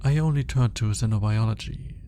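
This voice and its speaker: A man's voice: lowish voice, mellow, slight background noise, close to an everyday voice. A man playing an awkward, analytical alien scientist in an intimate ASMR roleplay, speaking in formal, hesitant musings. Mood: reluctant, wistful. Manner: hesitant, formal, articulate musing with sighs and pauses. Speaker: voice actor.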